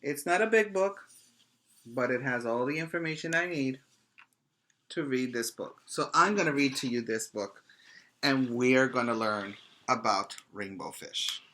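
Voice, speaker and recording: A man, a preschool teacher, reading a picture book aloud expressively and addressing young children directly in simple, deliberate phrases. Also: mid-range voice, bright, forceful tone, a little hiss or hum.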